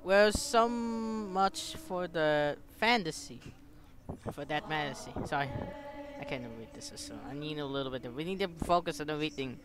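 A gaming streamer, giving exclamatory, halting English commentary and reading game text aloud. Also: mid-range voice. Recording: clear background noise and clicks.

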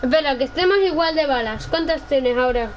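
A woman's voice: high-pitched, a little fast. A woman chatting casually while gaming, insistent and often repeating her requests. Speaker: gamer. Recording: faint background noise.

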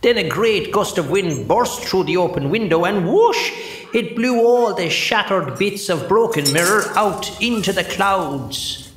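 An actor playing a chatty, hapless Irish sprite servant in a children's audio drama, colloquial and matter-of-fact. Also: male voice, high voice, expressive, unhurried, fairly bright tone. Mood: excited storytelling. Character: chatty, cheeky male sprite, the Snow Queen's hapless servant. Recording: roomy echo, music underneath.

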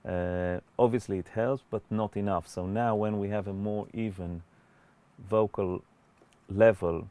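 A man in his forties giving an explanatory tutorial as a music producer, in a measured, hesitant way. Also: lowish voice, steady tone, dark tone. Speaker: music producer and mixing instructor. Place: indoor recording studio.

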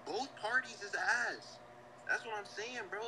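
A man's voice: high-pitched, a little slow, background noise. A man on a video chat, talking trash with brash slangy insults.

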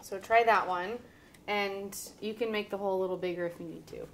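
Woman in her thirties, cooking show host, mid-pitched, upbeat and instructive, kitchen demo. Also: fairly even intonation, a little fast, fairly bright tone, a little hiss or hum. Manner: upbeat, instructive, laughs often. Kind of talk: casual cooking demonstration. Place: home kitchen, indoors.

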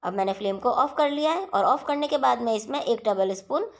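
A woman hosting a home cooking channel, talking viewers through a recipe step by step in a steady instructional voice-over. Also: fairly high pitch, fairly quick.

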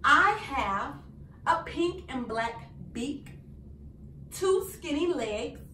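A young woman reading a picture book aloud to young children in an animated, sing-song way with deliberate phrasing. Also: high-pitched. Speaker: children's storyteller. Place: small indoor room. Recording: roomy, distant mic, faint noise.

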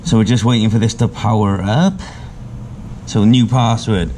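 A man's voice: lowish voice, fairly even intonation, moderate pace, darkish tone, slight background noise. A man in his thirties giving an informal, practical how-to product demonstration in a plain-spoken, explanatory way, recorded indoors on a camera microphone. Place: indoor showroom at a demonstration table.

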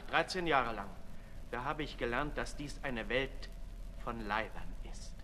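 A man playing a brooding, sensual libertine aristocrat in a 1960s German radio drama, declamatory, moving between hushed and loud. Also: on the high side. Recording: reverberant 1960s radio recording, faint noise.

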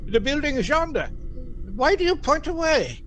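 An actor playing an old repentant miser in a radio play, with fervent, theatrical, exclamatory delivery. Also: male voice, very high-pitched, sing-song, moderate pace, fairly bright tone, soft background music, heavily acted. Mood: anxious.

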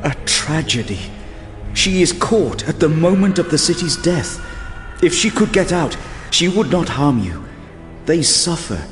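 Slow, solemn elven lord in cavernous reverb. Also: male voice, fairly high pitch, big pitch swings, soft background music. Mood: solemn pity. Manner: measured, formal, grave. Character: proud, stern male elven lord, solemn and commanding. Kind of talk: audio drama acting. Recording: long reverb, ambient noise behind.

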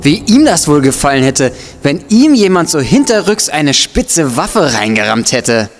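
An actor playing an excitable, cheeky young student in a comic radio play, with an animated, theatrical delivery. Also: male voice, a little high, measured pace. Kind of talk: German comedic audio drama acting.

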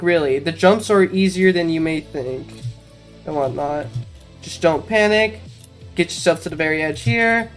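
A casual game commentator talking through a walkthrough in an informal way, with self-mocking asides. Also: medium pitch. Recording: music behind the voice. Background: game music.

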